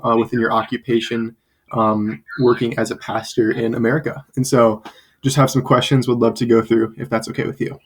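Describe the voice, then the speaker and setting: A male student runs an interview for a class, and his voice sits at a middle pitch. He is polite and reads his questions with frequent hesitations. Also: poor audio. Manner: polite, with many ums and uhs. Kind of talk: scripted interview questions over a call.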